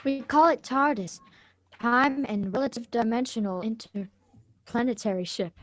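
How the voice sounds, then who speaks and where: A woman's voice: fairly high pitch, unhurried, fairly bright tone, no echo. A woman playing a polite, earnest teenage granddaughter from the future in a radio drama reading.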